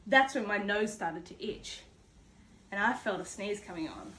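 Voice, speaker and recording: A woman's voice: medium pitch, animated, normal speed, fairly bright tone, close to an everyday voice, low-quality recording. A woman voicing a chatty male wolf who protests his innocence, reading a children's storybook in a folksy, exaggerated, confiding way. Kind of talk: children's storybook read-aloud.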